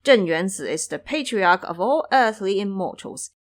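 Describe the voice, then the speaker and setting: A woman playing an authoritative female bodhisattva, composed and formal, admonishing in a measured way. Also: mid-range voice, expressive, close to an everyday voice. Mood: stern. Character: serene, authoritative female bodhisattva, sternly maternal.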